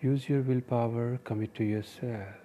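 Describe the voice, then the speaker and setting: A man with an Indian accent leading a guided meditation, giving gentle, measured instructions in short phrases with pauses. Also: mid-range voice, fairly even intonation, a little hiss or hum. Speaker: meditation teacher and podcast host.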